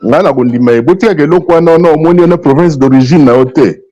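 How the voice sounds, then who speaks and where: Congolese guy on a political talk show, mellow dark voice, emphatic n opinionated, repeats himself, kinda muffled audio. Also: a little high, fast. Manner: emphatic, repeats phrases, switches between French and Lingala.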